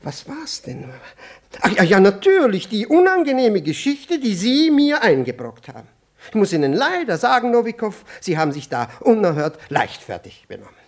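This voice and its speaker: An actor playing a pompous, scatterbrained, middle-aged senior ministry official in a radio play, stammering and losing his thread, with a husky, breathy voice. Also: sits high, huge pitch swings, normal speed, exaggerated voice. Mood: flustered, forgetful. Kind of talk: 1960s German radio play acting.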